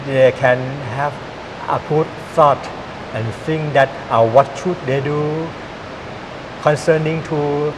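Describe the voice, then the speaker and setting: A man's voice: fairly high pitch, steady tone. Thai-accented guy talking about art, lots of uh and yeah, hissy recording. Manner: halting, many "uh" pauses and "yeah" fillers. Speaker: art lecturer, guest. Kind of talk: interview answers. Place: exhibition hall. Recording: noisy hiss in background.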